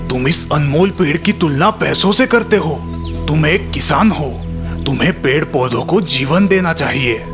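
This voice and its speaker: Casting: male actor as aged storybook hermit, solemn and moralizing, with music clearly underneath. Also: on the high side, expressive. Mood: stern, admonishing. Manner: solemn, preachy, sometimes slow and verse-like. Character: old male forest holy man, grave and admonishing. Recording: music behind the voice.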